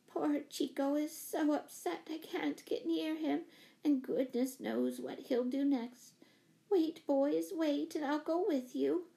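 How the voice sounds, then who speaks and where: A woman's voice: sits high, lively intonation, moderate pace, warm tone, voice pushed up. A woman voicing a fluttery, anxious, apologetic older spinster in a children's storybook, gushing and flustered. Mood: flustered, breathless.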